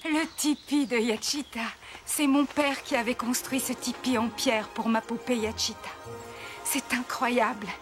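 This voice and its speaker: A woman playing a frail, kind, wistful old woman in a French cartoon, with a soft voice that turns breathy at times. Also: on the high side, fairly even intonation, measured pace, faint music behind, lightly acted. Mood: moved, nostalgic. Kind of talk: French animated series dubbing.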